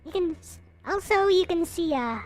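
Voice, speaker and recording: A woman giving a casual, unscripted show-and-tell as a vlogger, in a halting way as she thinks aloud. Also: very high voice, lively intonation, a little mellow. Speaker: vlogger showing collectible figures.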